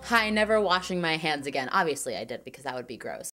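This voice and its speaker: Low-voiced young woman chatting over music. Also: big pitch swings, fast talker, pushed and bright. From Chile. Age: 20s. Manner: chatty, lots of "like", acts out quotes. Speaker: documentary subject. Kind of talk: casual personal storytelling. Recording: music playing underneath.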